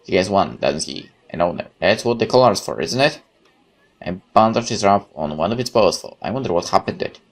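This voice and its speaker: A man doing a let's play, reading game text aloud and adding chatty, expressive commentary. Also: low-pitched, a little fast, gravelly. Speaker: gaming YouTuber. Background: guitar music.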